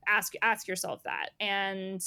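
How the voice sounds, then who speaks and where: A woman's voice: mid-range voice, flat delivery, slow, gravelly, bright, forceful tone, smooth, dry recording. A woman speaking as an editor, explaining things in casual conversation and often acting out imagined remarks. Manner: conversational, illustrates points with imagined quotes. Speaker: magazine editor being interviewed.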